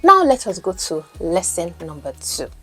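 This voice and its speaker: A woman's voice: on the low side, sing-song, normal speed, a bit bright, breathy edge. A Nigerian woman whose first language is Yoruba, teaching English as a coach and podcast host, explaining and asking the listener questions. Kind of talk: teaching lesson, mixing in Pidgin.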